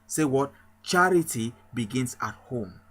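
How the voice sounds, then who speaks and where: Man, from Cameroon, pastor, husky voice, emphatic repetitive sermon. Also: medium pitch, animated, measured pace, a bit bright. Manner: repetitive, emphatic rallying cadence. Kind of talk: motivational sermon.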